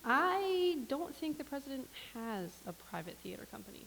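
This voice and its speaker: A woman playing a dry, unimpressed cafe server who speaks deadpan in a staged play reading. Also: sits high, normal speed, gravelly, slight background noise, full character voice, poor audio.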